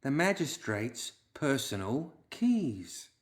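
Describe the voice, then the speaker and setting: A man voicing a sharp, well-read woman who lays out her facts slowly and knowingly. The voice sits above the narrator's own, calm and deliberate in a storybook reading. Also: fairly high pitch, voice pushed up, natural voice.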